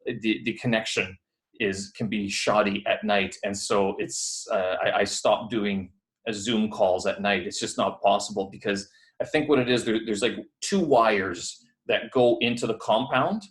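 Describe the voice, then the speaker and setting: Low-voiced, rambling man hosting. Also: steady tone, a little slow. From Canada. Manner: rambling, filler-heavy, friendly. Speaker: podcast host. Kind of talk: casual interview chat. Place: building away from home. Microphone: headphones on a video call.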